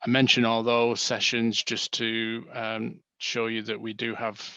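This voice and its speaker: A man from Manchester, England with a Manchester accent, co-presenting a seminar in a halting way with many fillers and restarts, heard over a video call. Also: medium pitch, monotone, gravelly, pushed and bright, smooth, no room sound. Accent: Manchester English.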